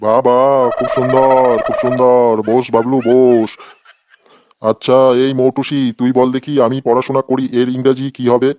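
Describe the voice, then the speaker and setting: A man's voice: medium pitch, little pitch movement, unhurried, background noise, close to an everyday voice. A man playing an irritable, sarcastic schoolteacher who threatens his pupils in a comedy cartoon. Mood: approving. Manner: scolding, sarcastic. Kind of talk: exaggerated comic cartoon dialogue.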